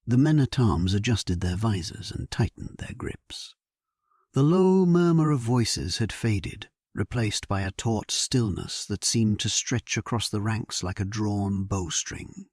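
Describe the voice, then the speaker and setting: A man playing a solemn, resolute English warrior king, narrating his battle in a measured, stately way for an audio drama. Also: lowish voice, expressive, darkish tone, slightly rough, dry recording, studio quality. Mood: solemn, reflective. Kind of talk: dramatic scripted first-person narration, sleep story.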